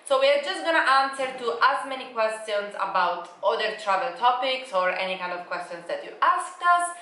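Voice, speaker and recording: A woman's voice: on the high side, very animated, a little fast, pushed and bright, roomy sound, rough recording. A woman in her twenties, a native Italian speaker, chatting casually on a travel vlog and laughing often. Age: 20s. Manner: bubbly, laughs often, non-native phrasing. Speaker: travel vlogger. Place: holiday apartment in Bali.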